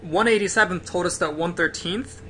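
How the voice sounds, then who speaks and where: Guy doing casual lets play commentary, high voice, super bright, walking viewers through it step by step. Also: moderate pace, faint music behind. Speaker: game play-through host.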